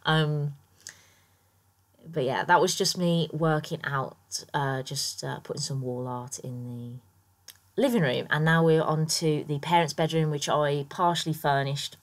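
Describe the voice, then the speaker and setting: A woman with a croaky morning voice, chatting through her game build in a rambling, casual voiceover full of ums and small laughs. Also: low voice, fairly even intonation, a little slow. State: croaky, just woken up. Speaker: Sims builder YouTuber.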